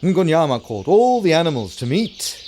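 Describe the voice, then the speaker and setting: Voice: medium pitch, big pitch swings, moderate pace, a little mellow. A narrator with a South African accent telling a scripted folktale for young children. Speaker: storyteller narrator.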